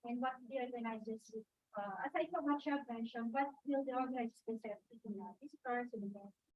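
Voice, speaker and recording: A woman's voice: on the high side, fairly quick, dull audio. A woman reporter asking a polite, somewhat hesitant question at a press conference.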